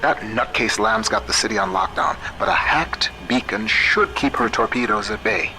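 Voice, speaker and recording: An actor playing a shrewd, principled American computer scientist in a video game, middle-aged, wry, measured and plain-spoken. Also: male voice, lowish voice, lively intonation, sharp tone, faint music behind, low-quality recording. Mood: exasperated. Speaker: guide character. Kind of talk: video game voice acting, audio diaries and radio guidance.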